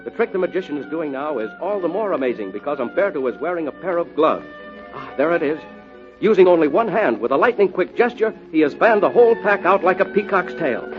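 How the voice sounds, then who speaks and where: A man playing an excitable radio announcer, delivering punchy scripted lines for a mystery contest show. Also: brisk. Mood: hushed suspense. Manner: excited, punchy sales pitch. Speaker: announcer and narrator. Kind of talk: old-time radio announcing, scripted narration. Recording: dull old radio recording, music behind.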